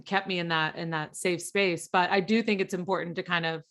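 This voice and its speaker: A woman's voice: on the low side, steady tone, brisk, a bit bright. A woman, hosting a podcast in a casual, agreeable, chatty way. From southern US. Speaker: podcast host, interviewer. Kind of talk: casual chat.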